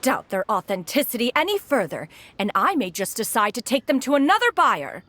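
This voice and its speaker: A woman's voice: on the high side, big pitch swings, a little fast, fairly bright tone, no room sound. A woman voicing a proud ancient crane spirit in a video game, speaking in a stately, archaic and haughty way. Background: game music.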